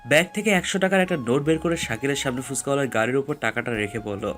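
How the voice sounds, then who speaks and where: A man's voice: mid-range voice, fast, fairly bright tone, soft background music. A man reading scripted story narration as the narrator.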